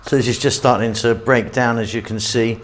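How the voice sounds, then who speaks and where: Man, cooking show host, casual kitchen demo, relaxed and chatty, average pitch. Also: moderate pace. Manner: chatty, relaxed, thinks aloud with fillers.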